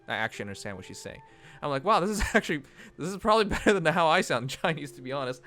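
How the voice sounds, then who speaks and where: A young man chatting casually on a livestream, animated and rambling, often breaking into laughs. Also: a little high, racing. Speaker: streamer. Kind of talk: casual stream chat. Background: faint music.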